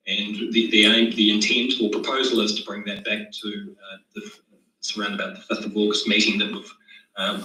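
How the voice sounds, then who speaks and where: A man's voice: lowish voice, sharp tone. A man, a project manager briefing a council meeting, hesitant and full of fillers. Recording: roomy, echoey, distant from the mic.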